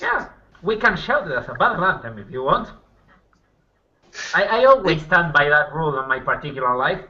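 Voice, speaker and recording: A man banters casually as a roleplaying player. His voice is bright and fairly high, with animated swings in pitch, on a dull lo-fi recording. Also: normal speed, long pauses.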